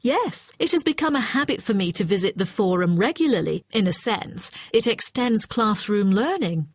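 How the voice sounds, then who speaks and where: A woman's voice: mid-range voice, very animated, moderate pace, slightly rough, no echo. Woman acting as conscientious female student, dry recording. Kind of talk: scripted exam dialogue.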